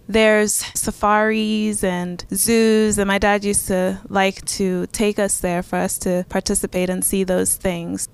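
A young woman from Zambia shares personal memories in a conversational way. Her voice is smooth and clean, often dropping into a creaky fry. She speaks as an interviewee recounting her own life. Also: mid-range voice. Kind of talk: personal storytelling, casual reminiscence.

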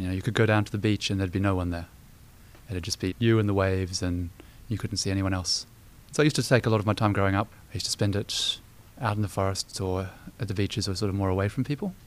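A man in his twenties with a New Zealand accent, chatting casually about his life, with many fillers. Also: fairly low pitch. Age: 20s. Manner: relaxed, chatty, many "you know" fillers. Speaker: interviewee. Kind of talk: personal storytelling.

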